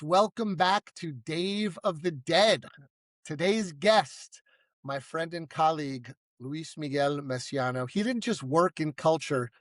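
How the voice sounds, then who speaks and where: Host: man, fairly high voice, dry close recording, upbeat and emphatic interviewer. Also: no echo. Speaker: podcast host. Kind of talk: podcast introduction and interview.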